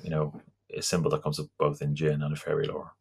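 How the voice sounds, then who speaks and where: A man talking casually and haltingly, often repeating words while he gathers his thoughts. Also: rumbling low, monotone, gravelly, husky. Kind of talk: casual conversation about folklore.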